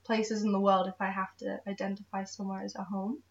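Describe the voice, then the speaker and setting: A woman's voice: mid-range voice, level tone, fast talker, gravelly. A woman from Singapore giving earnest, reflective answers in an interview. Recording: roomy, distant, muffled recording.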